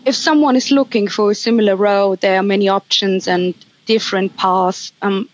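A woman from Germany, a project manager, giving earnest, measured career advice as a podcast interview guest. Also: medium pitch, steady tone, dull audio. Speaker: project manager at a recruitment firm.

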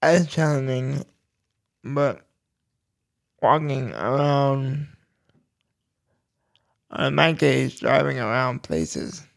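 Male podcast host, personal talk; very slow, halting delivery in short bursts, gravelly fry, slightly high-set voice. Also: lively intonation.

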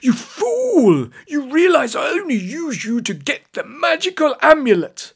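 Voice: animated, takes it slowly. A man voicing a gloating, irritable storybook villain in a theatrical, scornful manner. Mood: gloating, exasperated. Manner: theatrical, scornful, shouting in high-pitched bursts. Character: gloating, irritable male villain commanding magical underpants.

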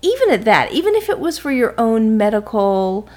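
A woman co-hosting a podcast with casual comedic banter, expressive and often incredulous. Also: a little high, moderate pace. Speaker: podcast co-host.

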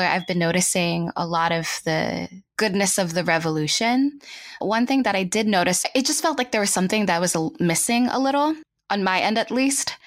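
A woman's voice: on the low side, no room sound, clean studio sound. A woman from the Philippines speaking reflectively as an interview guest, thoughtful and often rewording as she goes.

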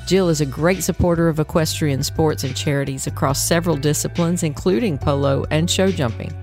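A woman's voice: low voice, fairly quick, darkish tone, soft background music. A woman hosting a podcast, reading a scripted introduction and asking her guests polished, upbeat questions. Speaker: podcast host.

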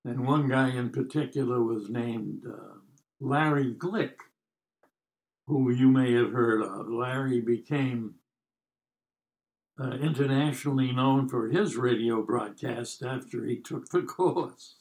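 Man in his nineties, slow-talking American storyteller. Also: medium pitch, gravelly, darkish tone, low-quality recording. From United States. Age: 90s. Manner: wry, joking, rambling. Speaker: podcast guest telling life stories. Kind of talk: casual storytelling.

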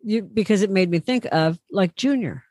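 A woman's voice: medium pitch, lively intonation, moderate pace, darkish tone, no echo. Chatty middle-aged woman, dry sound. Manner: chatty, rambling storyteller, teasing. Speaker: podcast co-host. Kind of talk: casual chat.

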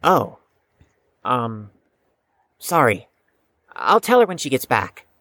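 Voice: mid-range voice, very animated, slow, a bit bright, no echo. An actor playing a reserved, defensive young chess prodigy in an audio drama, with a guarded, precise, slightly stiff delivery. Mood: reluctant. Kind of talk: scripted audio drama acting.

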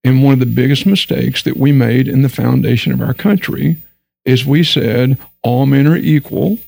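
A man's voice: mid-range voice, normal speed, creaky, darkish tone, no echo, low-quality recording. A man from Texas with a Texan accent, speaking as a guest on a discussion panel in an earnest, emphatic way, sometimes acting out the voices he quotes. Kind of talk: candid discussion panel talk.

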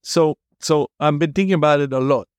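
A man's voice: fairly high pitch, fairly quick, clean tone, no echo. Dutch-accented man hosting, dry sound. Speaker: podcast host. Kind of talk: casual interview chat.

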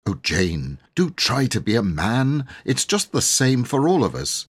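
A man's voice: mid-range voice, very animated, unhurried, husky, voice pushed up. Man as a earnest, coaxing older sister. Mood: scolding. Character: sensible, soothing older sister, a girl.

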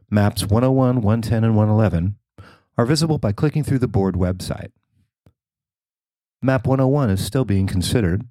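A man's voice: fairly low pitch, darkish tone, no echo, low-quality recording. A man reading scripted news narration as a podcast host and reporter.

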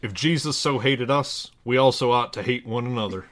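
A man's voice: mid-range voice, brisk, a bit bright, close to an everyday voice. A man playing a theatrical, fervent Texan pastor with a drawl, preaching parody scripture.

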